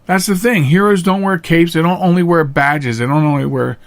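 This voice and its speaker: A man hosting a podcast in a casual, unscripted monologue, earnest and plain-spoken, halting at times and repeating phrases for emphasis. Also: fairly high pitch, fairly even intonation. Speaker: podcast host.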